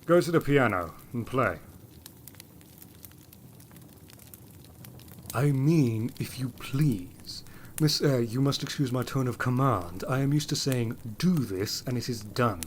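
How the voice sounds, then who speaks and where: An actor playing an imperious, abrupt gentleman of a gothic manor in a period audio drama, firing probing questions. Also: medium pitch, expressive, unhurried, a little hiss or hum, lightly acted. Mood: commanding. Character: brusque, commanding gentleman master of a gothic manor.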